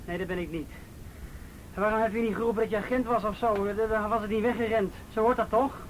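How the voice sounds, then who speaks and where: A man's voice: high-pitched, fairly even intonation, fast, lightly acted. An actor playing a cheeky, defiant street boy who mouths off and dodges questions in a radio play. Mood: cheeky, protesting. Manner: mouthy, evasive, protesting. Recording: faintly noisy old radio play recording.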